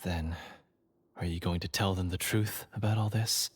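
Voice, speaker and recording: A man's voice: deep voice, fairly even intonation, normal speed, breathy edge. A man playing a remorseful, self-doubting young swordsman in a fantasy game, earnest and hesitant as he speaks. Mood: hesitant, puzzled. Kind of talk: scripted fantasy game dialogue. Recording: music playing under the voice.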